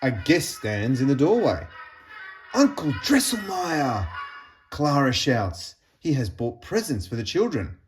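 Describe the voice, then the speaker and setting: A man reading a children's storybook aloud in an expressive, deliberate storytelling manner with pauses. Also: mid-range voice, soft background music. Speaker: storybook reader.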